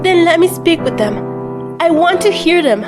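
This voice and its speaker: A very high, bright voice, from a woman acting the part belongs to a stubborn young heroine who refuses to trust anyone. Her delivery is insistent, with faint music behind, in a fan audio drama. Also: lively intonation, moderate pace. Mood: defiant. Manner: defiant, insistent. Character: defiant young woman with magic powers, distrustful.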